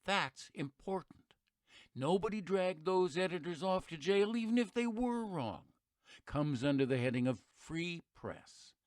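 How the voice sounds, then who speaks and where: A folksy male radio-play narrator, voiced by an actor, with a widely varied, animated pitch and a close, dry sound. He speaks conversationally and wryly, leaning on his points with plain patriotic conviction. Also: a little slow, no echo. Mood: wry, earnest. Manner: conversational, wry, emphatic. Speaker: narrator and host of an audio play.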